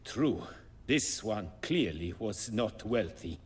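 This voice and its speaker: An actor playing a proud, wistful master armorer in a video game, telling tales in a formal, measured manner. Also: male voice, fairly low pitch, lively intonation, breathy edge, heavily acted. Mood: measured, recounting. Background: music.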